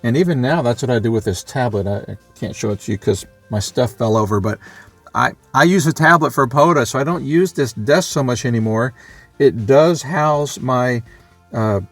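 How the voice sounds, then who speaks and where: Guy just rambling casually for a vlog, mid pitch, really dry sound, bit of music. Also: no room sound. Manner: rambling, thinking aloud, matter-of-fact. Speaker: vlogger, amateur radio hobbyist. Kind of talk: casual unscripted vlog talk.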